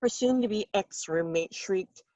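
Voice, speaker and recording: A woman reading her own short story aloud in an expressive, wry and dramatic storytelling manner. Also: medium pitch, unhurried, no room sound, highs cut off.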